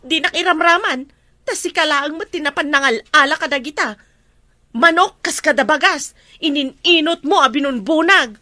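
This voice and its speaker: An actress with an Ilocano accent playing a defensive, bickering village wife in a comedy drama. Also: female voice, high-pitched, moderate pace, bright, forceful tone, no echo, clean studio sound. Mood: blaming. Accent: Ilocano, northern Philippines.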